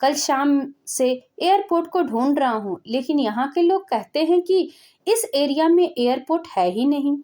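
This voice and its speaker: A woman's voice: high voice, animated, normal speed, mellow. A woman voicing a poor old father from a village who speaks in a pleading, bewildered way, in a spoken storybook.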